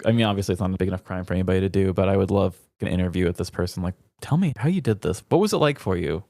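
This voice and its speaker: A man's voice: low-pitched, lively intonation, very fast, mellow, no room sound, studio quality. A man co-hosting a podcast, talking in a casual, comedic, conversational way. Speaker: podcast co-host.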